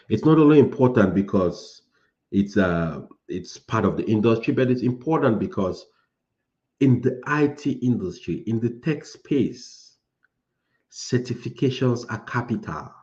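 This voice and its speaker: A man's voice: on the low side, expressive, moderate pace, a little mellow, dull audio. A man from Cameroon coaching people on starting a tech career, giving a preachy motivational talk that repeats phrases for emphasis.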